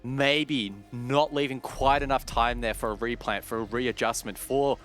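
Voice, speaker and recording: A man giving tactical color commentary on a competitive shooter match, steady and detailed. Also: mid-range voice, a little slow, faint music behind. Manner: steady, detailed tactical explanation with occasional self-corrections. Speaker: esports analyst caster. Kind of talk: analytical esports color commentary.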